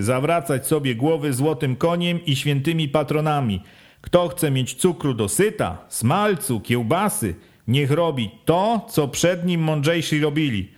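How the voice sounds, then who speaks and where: A man doing a pushy village official, loud and pressing. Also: a little high, pitched above the performer's own voice. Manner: rising, insistent questioning, loud and sharp. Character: forceful village official, a middle-aged man, pressing and sly.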